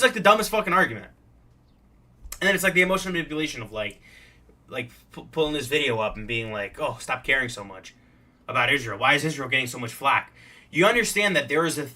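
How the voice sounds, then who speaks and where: Guy doing reaction commentary, really sharp bright voice, kinda high, blunt and sweary. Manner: blunt, argumentative, profane. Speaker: commentator reacting to a show clip.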